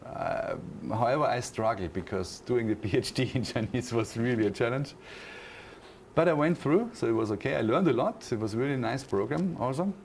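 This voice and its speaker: A man's voice: mid-range voice, lively intonation, darkish tone, slight background noise. A man from Austria, a native German speaker, answering interview questions in English with an Austrian German accent, thoughtful and full of fillers and restarts. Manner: thoughtful, many pauses and fillers, restarts phrases. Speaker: university lecturer, interview guest.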